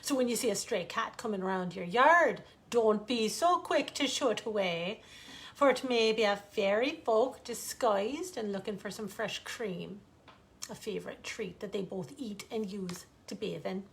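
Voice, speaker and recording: A woman author reading a bedtime fairytale aloud in an expressive, theatrical, lilting way with dramatic pauses. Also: mid-range voice, creaky.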